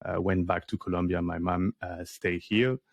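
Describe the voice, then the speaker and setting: Low gravelly man. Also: fairly even intonation, moderate pace, no room sound. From France. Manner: hesitant, many fillers and restarts. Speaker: career coach guest. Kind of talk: casual interview conversation.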